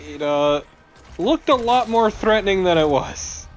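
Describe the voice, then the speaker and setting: A man's voice: high voice, animated, unhurried. A man chatting casually as a Let's Play co-host, wry, joking and prone to swearing. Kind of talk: casual chat over gameplay. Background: game music.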